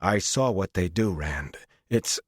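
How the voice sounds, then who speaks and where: Man doing a worried young fighter, deep voice, firm but pleading, fantasy audiobook. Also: expressive, slow, husky, no echo, studio quality, full character voice. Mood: troubled. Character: earnest, anxious young male warrior from the country. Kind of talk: audiobook character acting.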